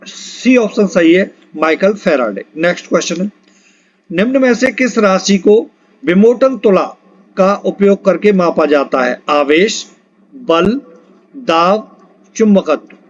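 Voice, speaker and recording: High-pitched man teaching, dull lo-fi sound. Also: lively intonation, takes it slowly. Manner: instructional, prompting listeners to answer. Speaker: exam-prep physics teacher. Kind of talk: quiz lesson, reading questions, options and answers aloud. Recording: dull lo-fi recording.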